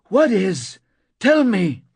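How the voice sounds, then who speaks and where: A man's voice: medium pitch, expressive, moderate pace, a little mellow, breathy edge, no room sound. A man voicing a volatile, blunt, aggressive and desperate man in an audiobook. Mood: agitated, demanding. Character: volatile, foul-mouthed man, angry and desperate.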